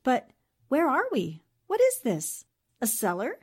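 A woman's voice: sits high, huge pitch swings, a little slow, slightly rough, exaggerated voice. An actress playing a brave, serious American newspaperwoman in a 1940s radio drama, earnest and resolute in manner. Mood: alarmed.

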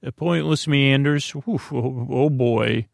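A man with a creaky, croaky voice hosting a bedtime podcast in a meandering, halting monologue where he often repeats himself. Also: medium pitch, dry recording, clean studio sound. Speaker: podcast host.

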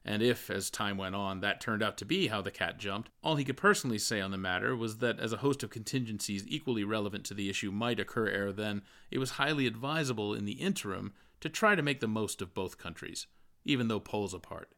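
A man's voice: on the low side, brisk, sharp tone. A man reading literary prose aloud as a scripted audiobook narration. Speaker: audiobook reader.

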